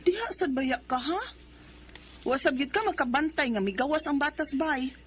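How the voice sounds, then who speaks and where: An actress playing a young mother in a radio drama, who also narrates it, earnest and quick to defend herself. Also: high-pitched, lively intonation. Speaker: narrator and lead.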